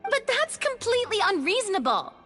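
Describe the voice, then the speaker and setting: A woman's voice: extremely high pitch, sing-song, a little fast, over loud music. An actress voicing an observant, earnest young female adventurer in a game, speaking carefully. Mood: alarmed. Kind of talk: video game voice acting.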